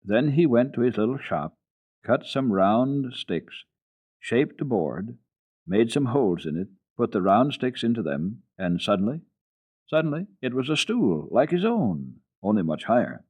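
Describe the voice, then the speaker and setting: A man reading a children's story for radio in an expressive, deliberate, theatrical way. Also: mid-range voice, breathy edge.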